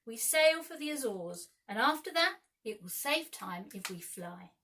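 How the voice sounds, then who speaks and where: A woman's voice: a little high, sing-song, unhurried, sharp tone, recorded from a distance, slight background noise, heavily acted. A woman voicing a bold, impulsive young boy leader in a children's storybook, speaking with urgency and decision. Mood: commanding. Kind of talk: children's storybook read-aloud.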